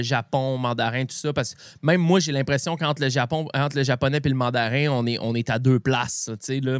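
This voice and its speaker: A man with a Québécois French accent hosting a casual chat, speaking in informal slang with halting, repeated starts. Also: medium pitch, dry recording, studio quality. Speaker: podcast host.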